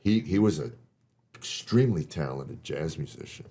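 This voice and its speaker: A man's voice: a bass voice, animated, normal speed, mellow. An older man and guest comedian telling stories in a casual, rambling chat, often repeating words as he starts a thought.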